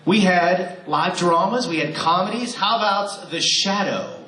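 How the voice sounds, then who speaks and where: A man's voice: high voice, very animated, unhurried, sharp tone. A man hosting a live radio theater show, joking with the audience and coaching them through cue-card practice. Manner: playful, joking, coaxing the crowd. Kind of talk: warm-up talk to a live audience. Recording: echoey hall with a crowd. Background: audience noise and applause.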